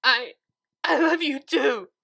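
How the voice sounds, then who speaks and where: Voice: high voice, takes it slowly, gravelly, bright, forceful tone, pitched above the performer's own voice, exaggerated voice. A reader voicing a young female pegasus filly who pleads and wails through tears, in a fan fiction audiobook. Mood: tearful. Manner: wailing, tearful pleading.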